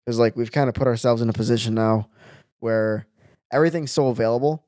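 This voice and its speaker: A young man philosophizing in casual podcast chat. He has a middle-pitched voice, recorded dry and close to the mic, and lays out his reasoning step by step. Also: fairly even intonation, fast talker, darkish tone, dry recording. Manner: explanatory, philosophizing. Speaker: podcast co-host.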